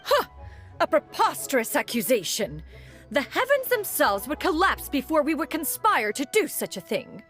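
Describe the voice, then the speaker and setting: A woman's voice: sits high, sing-song, moderate pace, fairly bright tone, soft background music. A woman playing a proud, dignified mentor in an anime game, speaking in a formal, stately way. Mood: indignant. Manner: formal, stately, archaic phrasing. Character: dignified, proud immortal woman mentor, haughty yet caring.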